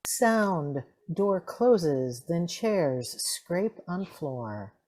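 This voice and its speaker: A woman's voice: fairly low pitch, sing-song, extremely slow, darkish tone. A woman reading out sound-effect cues from a radio script.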